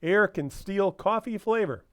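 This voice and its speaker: A man's voice: high voice, lively intonation, unhurried, fairly bright tone, clean tone, no echo, clean studio sound. A man playing a genial, folksy announcer reading sponsor copy in the style of old-time radio advertising. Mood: genial, persuasive.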